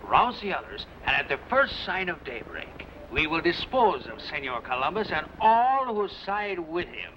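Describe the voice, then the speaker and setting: A man's voice: fairly high pitch, very animated, moderate pace, few pauses, a bit bright. A man playing a scheming, commanding leader of a ship's mutiny, sinister, in an old-time radio adventure. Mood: menacing, commanding. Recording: very noisy old radio recording.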